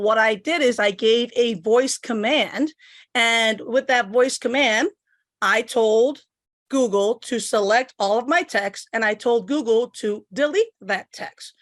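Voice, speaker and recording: A woman's voice: fairly high pitch, fairly bright tone, dry recording, clean studio sound. A middle-aged woman from Puerto Rico giving a step-by-step tech demo at her computer, explaining each click as she goes. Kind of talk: instructional screen demo. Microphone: computer microphone.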